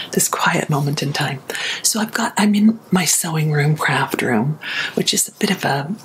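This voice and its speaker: A woman hosting ASMR, chatting casually in a soft, breathy whisper with a gentle, unhurried, confiding manner. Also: very deep voice, slight background noise, poor audio. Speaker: ASMR video host. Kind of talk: ASMR, casual rambling chat. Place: small sewing and craft room at home.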